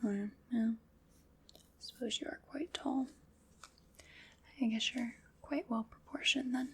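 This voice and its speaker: A woman plays a calm, courteous armor fitter who whispers softly and takes her time over every word. Her breathy whisper sits a little high, with a gravelly edge on the voiced words, and there is a faint hiss behind her. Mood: gentle, attentive. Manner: soft whispering, slow and attentive. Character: calm polite woman fitting armor, measuring. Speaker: ASMR roleplay creator. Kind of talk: ASMR roleplay whispering.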